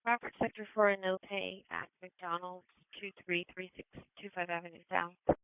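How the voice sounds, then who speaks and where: American lady dispatcher on police radio, clear low voice, thin scanner sound. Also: steady tone, normal speed, no echo. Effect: police radio transmission. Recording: narrow-band police scanner radio audio.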